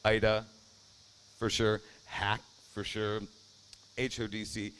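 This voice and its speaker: A man with a lowish voice speaks off the cuff at an outdoor ceremony, upbeat and repeating words as he builds up. Faint crickets hum behind him. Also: slow. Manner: energetic, congratulatory, repeats himself. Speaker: funding partner speaker. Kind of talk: informal ceremony remarks. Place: outdoors at a ribbon cutting.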